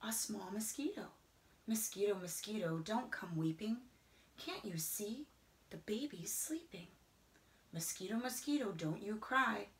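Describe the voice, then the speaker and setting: A middle-aged woman gives an expressive children's read-aloud in a roomy indoor space. Her delivery is slow and rhythmic, with wide swings in pitch. She often softens into a hushed whisper. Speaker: storyteller reading a picture book aloud.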